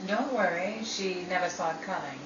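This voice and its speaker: A woman's voice: on the low side, normal speed, crowd noise, close to an everyday voice. A woman playing a wry, exasperated but loyal friend in an old-time radio drama. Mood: sly. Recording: roomy, distant, muffled, hissy amateur recording.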